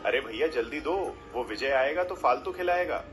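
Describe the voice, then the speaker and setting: A man's voice: mid-range voice, lively intonation, moderate pace, fairly bright tone, soft background music, close to an everyday voice. A man voicing an eager, hungry customer in a cartoon story, impatient and familiar. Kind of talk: Hindi cartoon story voice acting.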